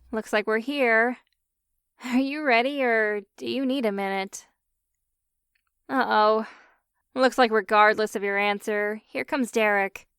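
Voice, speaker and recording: A woman's voice: fairly high pitch, a bit bright, clear, resonant tone, dry recording, studio quality. A woman playing a caring, teasing young best friend in an audio roleplay, chatty and a little hesitant as she talks. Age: young adult. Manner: chatty, hesitant, self-correcting, playfully teasing. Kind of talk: interactive audio roleplay acting.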